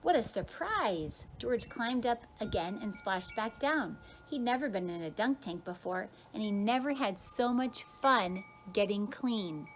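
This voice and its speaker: A woman's voice: medium pitch, lively intonation, unhurried, hardly any pauses, a little hiss or hum, poor audio. A woman teacher reads a children's picture book aloud expressively, drawing out key words for emphasis. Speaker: kindergarten teacher reading aloud.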